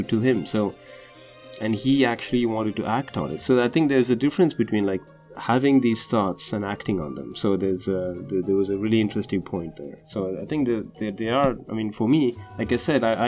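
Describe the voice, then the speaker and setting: A man's voice: fairly low pitch, a little fast, darkish tone, faint music behind. A man whose first language is Tamil hosts a film podcast, talking unscripted with many fillers and restarts. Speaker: film analysis podcast host. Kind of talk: casual unscripted movie commentary. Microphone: home recording over drawings.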